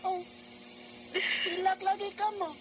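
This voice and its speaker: Excited, very high-voiced child. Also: female voice, unhurried. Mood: excited, loving. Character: excited, affectionate small child. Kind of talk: Cebuano radio drama acting. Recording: noisy radio broadcast recording.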